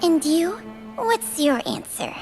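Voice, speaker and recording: A woman voicing an ancient, world-weary snake spirit in a video game, solemn and measured in manner. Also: very high voice, sing-song, full character voice. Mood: weary, wistful. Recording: loud music behind.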